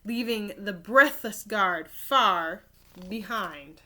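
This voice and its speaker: A woman reading a children's story aloud expressively and deliberately, like a teacher addressing young listeners. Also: mid-range voice, expressive, bright, forceful tone.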